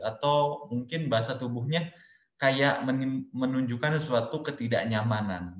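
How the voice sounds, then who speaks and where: A man giving a webinar lecture on public speaking, explaining step by step in numbered points and talking casually to his listeners as friends, over a computer or phone mic on a video call. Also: mid-range voice. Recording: roomy, dull lo-fi webinar audio.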